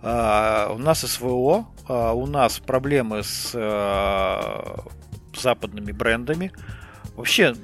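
Man, podcast host, informal interviewer, conversational, mid-range pitch, faint music in background. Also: takes it slowly, a bit bright. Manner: conversational, addresses guest informally. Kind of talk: casual interview questioning.

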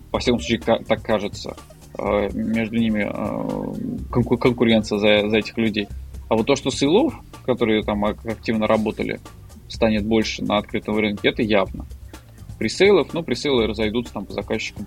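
A man's voice: lowish voice, brisk, vocal fry, faint music behind. A man speaking as a guest expert in a casual panel discussion, talking in a hesitant, colloquial way with many fillers and restarts. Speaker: marketer.

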